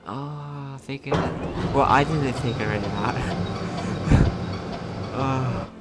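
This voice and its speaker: A man's voice: mid-range voice, extremely slow. A man giving casual let's play commentary, thinking aloud in a hesitant, rambling way. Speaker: gaming video host. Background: video game music.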